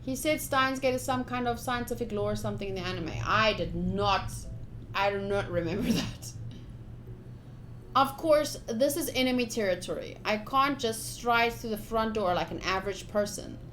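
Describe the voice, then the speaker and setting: High-voiced, expressive woman streamer. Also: moderate pace, a little hiss or hum. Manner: excitable, expressive, playfully dramatic, swears casually. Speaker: streamer playing a visual novel. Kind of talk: livestream playthrough, reading game text aloud with casual commentary.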